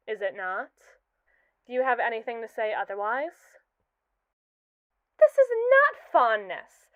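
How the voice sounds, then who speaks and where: A young woman voicing a haughty, scheming captive robot AI in a scripted roleplay, speaking in an arch, mocking and wordy way with slow, drawn-out emphasis. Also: a little high, fairly bright tone, smooth, no room sound, highs cut off. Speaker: ASMR roleplay performer. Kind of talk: scripted ASMR roleplay monologue.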